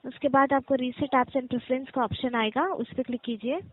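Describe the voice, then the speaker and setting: A woman's voice: high-pitched, fairly even intonation, brisk, dry recording. A woman working as a customer care agent on a phone call, speaking politely and formally in scripted support phrases and step-by-step instructions. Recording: muffled narrow phone-call line.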